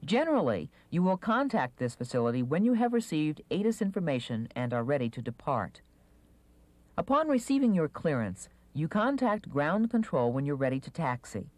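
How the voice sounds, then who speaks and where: A narrator reading a scripted instructional video in a measured, clearly enunciated manner. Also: mid-range voice, big pitch swings, a little mellow, no echo.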